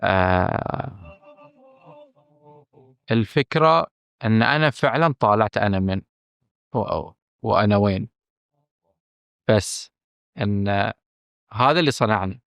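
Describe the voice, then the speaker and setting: A man with a Bahraini accent, a film director speaking candidly as a podcast guest, emphatic and earnest, often pausing on fillers and restarting. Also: fairly low pitch, lively intonation, gravelly, fairly bright tone, no echo. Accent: Bahraini Arabic. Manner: earnest and emphatic, stressing his frankness, with hesitant fillers and restarts.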